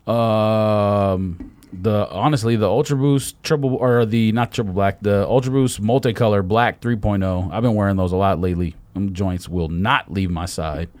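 A man's voice: lowish voice, normal speed, darkish tone. An American man hosting a casual podcast, chatting and teasing his friends in slangy talk. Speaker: podcast host. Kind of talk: casual banter among friends about sneakers.

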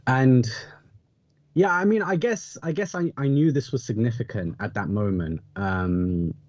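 A man's voice: medium pitch, unhurried, a little mellow, no echo, highs cut off. A man in his thirties talking reflectively on a podcast, hesitant and rephrasing himself as he thinks.

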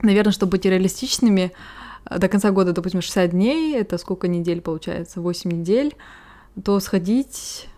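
A woman's voice: mid-range voice, fairly quick, warm tone. Hesitant woman thinking aloud on a podcast. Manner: thinks aloud, hesitant, frequent "yes" fillers. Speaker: podcast co-host. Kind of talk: casual podcast chat.

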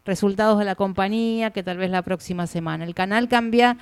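An Argentine woman co-hosting a casual industry chat, giving news in a measured way with fillers. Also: mid-range voice, smooth, no room sound. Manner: measured, informative, hesitant fillers. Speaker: co-host reporter.

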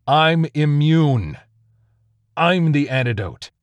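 A wounded sergeant argues with urgent, raised intensity, his voice a touch higher than the teller's usual, as a man puts him on. Close and dry, with no room around it. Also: expressive, slow, no echo. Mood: defiant. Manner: urgent, pleading.

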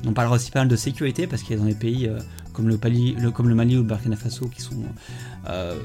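A man hosting a casual travel podcast, talking in an easy, chatty way with lots of "euh" and self-corrections. His voice sits at a middle pitch, and faint music plays behind his intro. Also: steady tone, quick pace, mellow. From France. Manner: chatty, many fillers and restarts. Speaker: podcast host.

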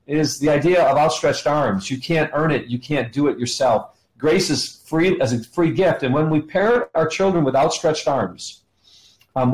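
A middle-aged or older man, a podcast guest, explaining scripture conversationally with emphatic pauses and rhetorical questions in a roomy recording. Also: on the high side. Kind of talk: teaching in conversation, quoting scripture. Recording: roomy, echoing sound.

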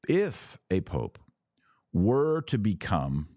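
An American man hosting a podcast, giving conversational commentary in an emphatic way with deliberate phrasing and pauses. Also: lowish voice, wildly swooping pitch, mellow, no room sound, studio quality. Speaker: podcast host. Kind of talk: conversational commentary answering listener questions.

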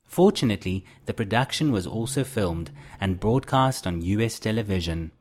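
A man narrating a documentary in a measured, informative way. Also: on the low side, animated, a little mellow. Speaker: narrator host.

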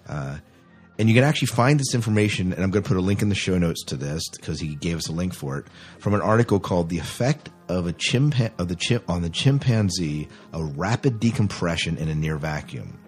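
A man with a low-pitched voice hosts a casual podcast. He talks in a rambling, joking manner. Faint music plays behind him. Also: lively intonation, fairly quick. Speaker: podcast host.

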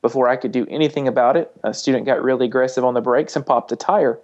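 Man, mid-range voice, thoughtful and halting instructor chatting on a podcast, a touch lo-fi. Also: mid-range voice, darkish tone. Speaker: flight instructor and podcast panelist. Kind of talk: casual panel discussion with anecdotes.

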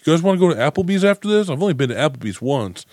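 A man's voice: a little high, very animated, very fast, a little mellow, no room sound, studio quality. A man co-hosting a podcast, chatting casually with a loose, slangy, joking manner. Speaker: podcast co-host. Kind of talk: casual chat.

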